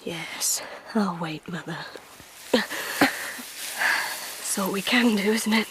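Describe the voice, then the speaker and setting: An actress in a radio drama playing a bitter, defiant young daughter, speaking in a hushed, deliberate way. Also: female voice, medium pitch, whispered, rough recording. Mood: bitter resignation.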